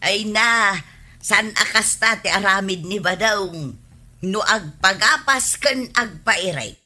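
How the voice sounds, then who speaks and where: Husky, slow-talking Ilocano woman villager. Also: mid-range voice, sharp tone, exaggerated voice. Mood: disapproving. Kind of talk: Ilocano radio comedy drama acting.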